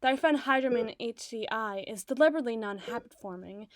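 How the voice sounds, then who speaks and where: A woman playing an obsessive, doting doctor in an ASMR roleplay, speaking softly and articulately with theatrical tenderness. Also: a little high, lively intonation, fairly quick, natural voice. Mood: concerned, coaxing. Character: obsessive, doting yandere woman doctor, soothing but possessive. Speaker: audio roleplay voice performer.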